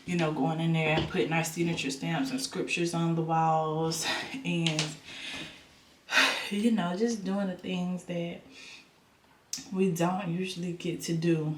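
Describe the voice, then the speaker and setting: A woman's voice: fairly low pitch, echoey room. A young woman vlogging at home, talking casually and rambling with many ums and repeats, her voice stuffy and nasal. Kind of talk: casual vlog chat. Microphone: camera on a stand.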